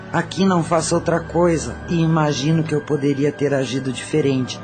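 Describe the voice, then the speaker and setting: A woman's voice: low voice, lightly acted. A tormented spirit of a once-cold brothel madam played by a woman confesses in a radio drama. She has a Brazilian accent and speaks matter-of-factly, with regret, over music. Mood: anguished regret. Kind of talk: Portuguese-language audio drama acting.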